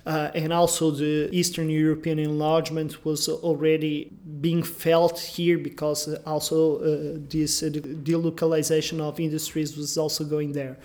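A man with a Portuguese accent, an economist answering interview questions haltingly in his office. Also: fairly high pitch, little pitch movement, a little mellow. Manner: hesitant, many fillers and restarts. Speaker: economist guest.

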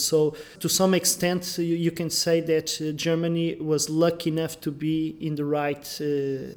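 A man with a Portuguese accent, an economist answering interview questions haltingly in his office. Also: a little high. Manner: hesitant, many fillers and restarts. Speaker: economist guest.

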